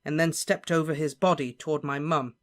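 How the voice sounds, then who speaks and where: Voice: medium pitch, fairly even intonation, fairly quick, close to an everyday voice. Narrator voicing a determined teenage boy wizard. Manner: quiet and insistent.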